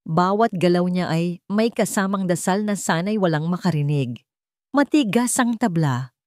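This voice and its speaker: A woman narrating a scripted story in a dramatic, suspenseful way, in deliberate short phrases. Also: on the low side, expressive, darkish tone, no room sound, clean studio sound.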